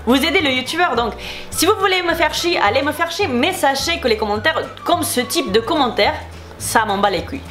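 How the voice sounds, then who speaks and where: Young spanish girl vlogging in french w/ a spanish accent, high voice, riled up and repeating herself. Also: expressive, brisk, a bit bright, faint music behind. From Spain. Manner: heated, irritated, repetitive. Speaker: beauty YouTuber. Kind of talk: casual vlog, replying to comments.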